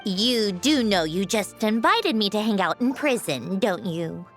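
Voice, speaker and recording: A woman's voice: medium pitch, expressive, measured pace, soft background music, close to an everyday voice. Woman voicing a dry, prickly young woman. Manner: dry, curt, a little flustered. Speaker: game character.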